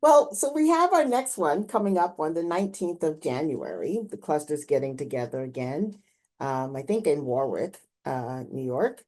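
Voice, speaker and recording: A woman with a low, mellow voice acts as interviewer in a community conversation. She talks reflectively, explaining as she goes and checking that she is being followed. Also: expressive, moderate pace.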